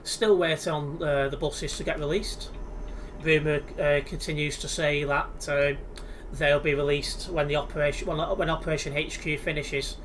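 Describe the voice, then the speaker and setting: A man's voice: on the high side, level tone, moderate pace, fairly bright tone, a little hiss or hum. A young man with an English accent, chatting casually and easily while he livestreams a game from home on a headset. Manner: chatty, easygoing, many restarts. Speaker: livestreaming gamer. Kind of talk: casual chat while playing. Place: indoors at home, in front of a computer.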